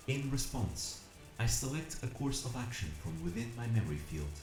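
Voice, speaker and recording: A man's voice: on the low side, reverberant, rough recording. A man playing an earnest, determined, calculating combat robot, narrating an audio drama in the first person with formal, precise diction. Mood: cool calculation. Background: music.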